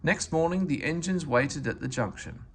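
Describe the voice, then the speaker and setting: A man's voice: a little high, animated, moderate pace. A man narrating a children's story aloud as a read-aloud reader, with faint noise in the recording.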